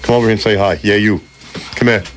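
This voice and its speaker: Jokey male radio host, coaxing, bright energy, small studio. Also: on the low side, fairly even intonation, quick pace. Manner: upbeat, joking, coaxing. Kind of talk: lively on-air chat with kids.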